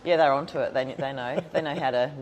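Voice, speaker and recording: A woman with a New Zealand accent hosting an interview, affirming her guest warmly and restarting her questions as she goes. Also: very deep voice, fast talker, a little hiss or hum, rough recording. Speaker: interviewer, podcast host. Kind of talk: friendly conversational interview.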